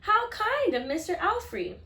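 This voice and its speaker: A woman reading the part of a practical, kindly grandmother in a children's picture book, plainspoken and gently encouraging. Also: very high voice, sing-song, measured pace, voice pushed up, heavily acted. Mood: kindly, hopeful. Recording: echoey room.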